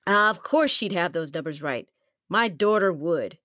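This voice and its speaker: Voiced by a woman, a gruff older father speaks in blunt, dismissive bursts in a storybook reading. The voice is lowish and dry. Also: animated, moderate pace, pushed and bright, dry recording. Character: plantation-owner father, gruff older man.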